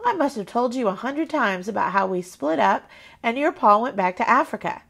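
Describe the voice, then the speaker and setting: A woman's voice: a little high, expressive, moderate pace, creaky, fairly bright tone, full character voice. Woman playing caring mother, firm, emphatic, matter-of-fact, children's story dialogue. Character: firm but caring mother of a young girl.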